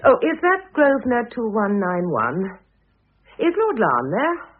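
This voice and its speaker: An actress playing a shrewd, commanding first wife in an old radio drama, coolly insistent and articulate, on a muffled recording. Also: female voice, on the high side, huge pitch swings, unhurried, slight background noise. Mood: commanding, brisk.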